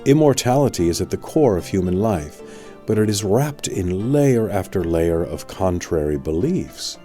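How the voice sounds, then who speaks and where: Deep, mellow male audiobook narrator. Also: expressive, soft background music. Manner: measured, deliberate phrasing. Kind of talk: scripted spiritual audiobook narration.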